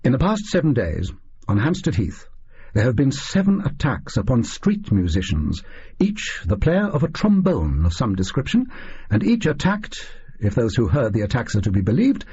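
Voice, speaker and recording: Male reader as smug gentleman detective for a storybook: formal, precise, very husky, expressive, slightly dull recording. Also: mid-range voice, exaggerated voice. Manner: smug, formal, deliberate diction. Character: conceited, precise male Victorian detective, theatrically mysterious.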